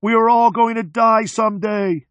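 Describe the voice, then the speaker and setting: A man reading an audiobook, voicing a fanatical male mechanic who yells slogans out of a car window. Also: sits high, steady tone, normal speed, no echo, pitched above the performer's own voice. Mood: screaming. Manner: shouting.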